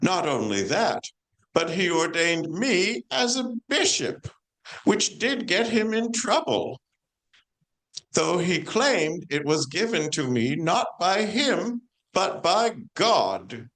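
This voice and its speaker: Woman acting a slow, stately female saint. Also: on the low side, very animated, fairly bright tone, exaggerated voice, rough recording. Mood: dignified, earnest. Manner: measured, declamatory storytelling. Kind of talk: amateur stage play performance.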